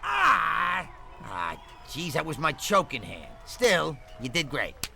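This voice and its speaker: Bumbling middle-aged cartoon cop, nasal, gravelly, bright. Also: male voice, a little high, wildly swooping pitch, takes it slowly, a little hiss or hum, full character voice. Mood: blustery.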